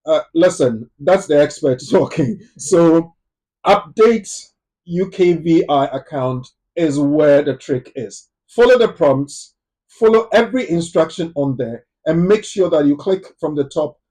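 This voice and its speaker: A man's voice: on the high side, mellow, poor audio. A man hosting a live phone-in talk show, chatty, reading out viewer questions.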